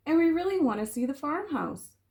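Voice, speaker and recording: A woman voicing timid but curious, easily startled chickens from a children's storybook in an exaggerated, expressive way. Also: high voice, fairly quick, pitched above the performer's own voice. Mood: determined.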